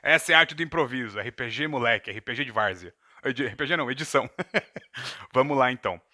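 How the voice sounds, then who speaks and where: A man's voice: mid-range voice, fast, bright, forceful tone, dry recording, clean studio sound. A Brazilian man, a game master, giving a thoughtful, digressive talk to a live chat from home, with asides and self-corrections. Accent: Brazilian Portuguese. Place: home room in front of a camera. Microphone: camera mic.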